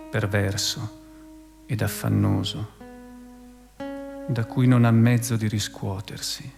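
A man giving a measured, solemn dramatic reading for radio theatre, with deliberate pauses. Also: medium pitch, gravelly. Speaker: narrator in a radio theatre piece. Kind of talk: dramatic scripted narration, theatrical literary reading. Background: soft music with plucked guitar.

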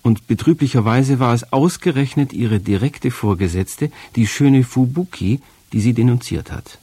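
A man's voice: medium pitch, normal speed, mellow, breathy edge, no echo. A man reading a scripted introduction to a literary radio programme as the announcer.

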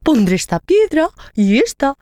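A woman's voice: high-pitched, very animated, normal speed, warm tone, no echo. A woman voicing a carefree, overconfident mother hare in a children's story, chatty and full of exclamations. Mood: busy, chatty. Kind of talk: children's audio story acting.

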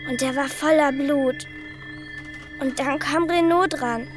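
Little girl, earnest storyteller, high childlike voice, radio play, faint music. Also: takes it slowly. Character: young girl telling scary stories, earnest.

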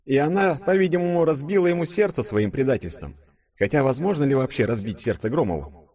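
A man's voice: medium pitch, animated, brisk, darkish tone, voice pushed up, close to an everyday voice. A man voicing a wry, sarcastic young man with a light, ironic manner in an audiobook. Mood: musing.

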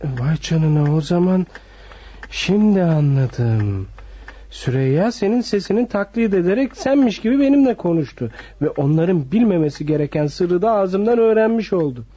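Hyped up scheming young grandson, super high swoopy voice, old radio play. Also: male voice, expressive, normal speed, mellow, husky. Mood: indignant, realizing. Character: excitable, scheming young grandson after an inheritance. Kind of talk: radio theatre acting. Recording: muffled radio drama, noisy crowd behind.

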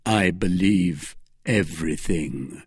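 Grave, doom-laden gentleman read by a narrator, slow, halting and solemn, horrified undertone, storybook drama. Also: low voice, slightly rough, no echo, studio quality, heavily acted. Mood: horrified dread.

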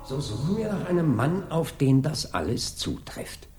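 An actor playing a calm, methodical police inspector in a radio crime drama, questioning in a measured, insistent way. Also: male voice, on the high side, over music. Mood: deliberate reasoning. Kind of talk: 1980s German radio crime drama acting.

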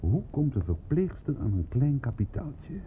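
A man's voice: medium pitch, big pitch swings, moderate pace, slight background noise, lightly acted. A man playing a dry, worldly-wise police inspector in a radio play, probing and sardonic, with terse questions. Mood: probing, insistent. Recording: phone-like thin radio play recording with music.

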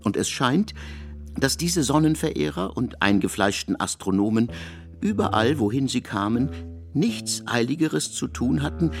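A man reading literary prose as a narrator in a radio production, in a measured, formal storytelling manner. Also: mid-range voice.

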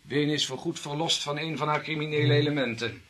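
A man's voice: on the high side, fairly even intonation, brisk, fairly bright tone, lightly acted. An actor playing a cold criminal henchman in a radio play, speaking curtly and in clipped phrases. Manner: curt, clipped.

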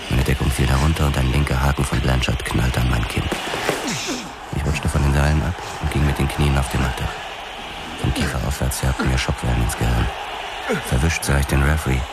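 Very deep-voiced laconic cop narrator. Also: male voice. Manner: measured, matter-of-fact storytelling. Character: laconic young male ex-boxer cop, first-person narrator. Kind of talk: scripted radio drama narration. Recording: loud music behind the voice.

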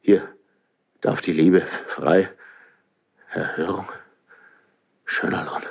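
An actor playing a suspicious, brooding older king in a classical radio drama, speaking in a grave, imperious, halting way with a gravelly voice. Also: low voice, steady tone, lo-fi sound, exaggerated voice. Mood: agitated, suspicious. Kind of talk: classical radio stage acting.

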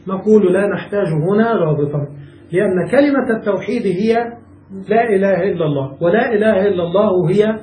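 Man teaching grammar, high mellow voice, echoey room heard from a distance, faint hiss. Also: fairly quick. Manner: patient, asks checking questions to students. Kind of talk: classical grammar lesson, explaining line by line.

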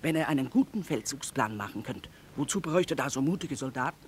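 A woman's voice: extremely low pitch, lively intonation, brisk. An actress playing a shrewd middle-aged market trader and mother, sardonic and earthy, in radio drama style. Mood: sardonic lecturing. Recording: noisy old radio play recording.

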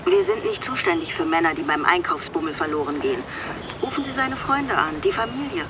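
An actress playing a brusque, unhelpful emergency police operator in a radio drama, official and dismissive. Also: female voice, on the low side, sing-song, brisk. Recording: noisy line with street-like ambience.

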